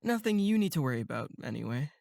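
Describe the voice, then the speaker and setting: Laid-back young male counselor, voiced by a woman, audio drama. Low-pitched, dry humor, protective, close dry recording. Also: sing-song, fast, vocal fry, darkish tone, no room sound, heavily acted. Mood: reassuring. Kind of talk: horror audio drama acting.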